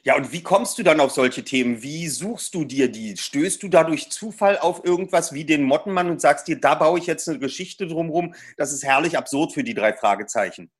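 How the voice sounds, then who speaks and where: A man's voice: fairly high pitch, animated, normal speed, few pauses, breathy edge. A man from Germany hosting a podcast, interviewing an author in a friendly way with some fillers. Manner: friendly, asks questions with fillers. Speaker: podcast host.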